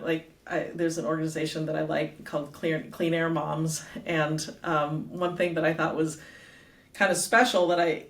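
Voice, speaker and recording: A middle-aged woman talking as a guest and author in a casual interview, chatty and quick to laugh, with lots of fillers. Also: lowish voice, fairly bright tone. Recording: roomy echo, distant from the mic.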